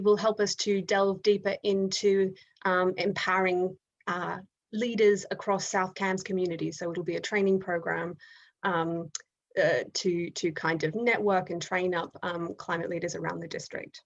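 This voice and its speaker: A woman's voice: on the low side, little pitch movement, unhurried, dull audio. A woman with an Australian accent hosting an online event on a video call, talking with frequent ums and restarts. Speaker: event host.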